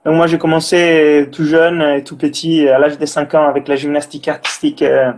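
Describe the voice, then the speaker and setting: An Italian man in his thirties with a slightly high voice, speaking French as a second language. He talks casually and hesitantly, full of fillers, restarts and small grammar slips, as a guest explaining his path in an interview. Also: little pitch movement, moderate pace. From Italy. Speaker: breathing and cold exposure instructor, guest.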